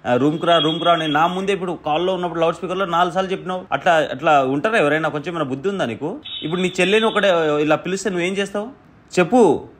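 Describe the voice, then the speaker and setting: A man with a slightly high voice argues on a phone call at a rapid pace. He scolds and threatens the other party, hammering the same demands again and again. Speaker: prank-call host posing as the woman's brother. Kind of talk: confrontational phone argument.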